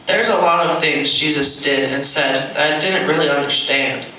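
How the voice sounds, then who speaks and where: A performer playing an easygoing, excitable male disciple in an amateur puppet show, with a playful manner. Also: mid-range voice, normal speed, natural voice. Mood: subdued. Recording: very echoey, distant mic, clicks and noise.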